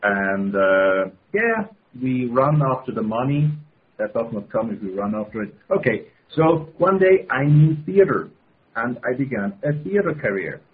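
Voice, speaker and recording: Husky Swiss man on a phone line. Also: medium pitch, sing-song. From Switzerland. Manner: halting, restarts phrases, laughs often. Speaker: guest. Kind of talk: casual interview. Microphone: telephone. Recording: phone-line call-in audio.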